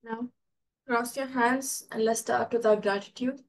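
A woman's voice: fairly high pitch, fairly even intonation, a little slow. A young woman with an Indian accent giving guided yoga and meditation instructions. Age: young, student. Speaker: student leading yoga and meditation. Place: bedroom at home. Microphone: video call, device a little away.

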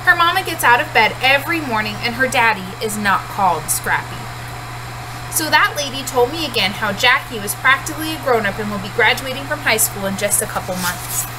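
A woman's voice: on the high side, expressive, brisk, noisy background. A woman in her thirties, a teacher reading a storybook aloud in first-person narration at home. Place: small cluttered room at home, indoors.

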